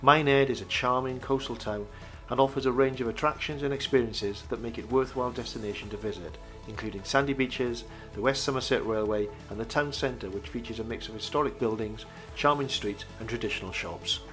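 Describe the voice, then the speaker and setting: A man reading a scripted campsite review voice-over in an even, measured way. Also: mid-range voice, faint music behind.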